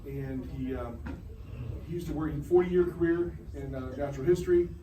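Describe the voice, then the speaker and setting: A man hosting a club meeting, introducing the guest speaker in a halting way with many fillers. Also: a little high, mellow. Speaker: club host. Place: meeting room. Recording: echoey room, distant mic, noise.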